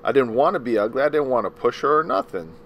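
A man voicing a polite, frightened farmhand on a witness stand, speaking softly and hesitantly. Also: mid-range voice, lively intonation, fast, a little hiss or hum, voice pushed up. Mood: pleading. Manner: polite, hesitant, soft-spoken. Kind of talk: audiobook character reading.

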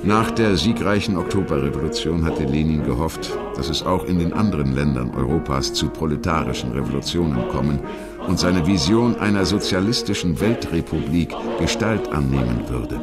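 A man reading scripted historical narration in a measured, articulate and formal manner. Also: a bass voice, expressive, over loud music. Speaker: documentary narrator.

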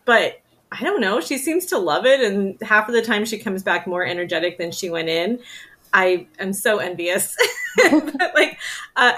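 Woman, 40s, podcast host, bright tense voice, curious questions, conversational. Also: medium pitch. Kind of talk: conversational interview.

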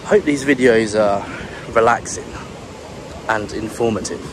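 A British man from England giving monotone, relaxed commentary while walking a beach outdoors, filming on a handheld camera. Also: lowish voice, measured pace. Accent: British English. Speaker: travel vlogger. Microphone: handheld camera carried while walking. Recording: clear wind and surf noise. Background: ocean waves and breeze.

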